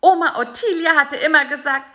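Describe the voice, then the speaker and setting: Woman putting on a shrill indignant little fly. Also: pushed and bright. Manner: shrill, quick, squealing outbursts. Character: fly, small excitable male, indignant.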